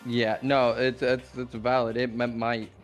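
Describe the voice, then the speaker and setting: Mid-pitched man in casual game chat. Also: flat delivery, a little slow, music in the background. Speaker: player in a group game session.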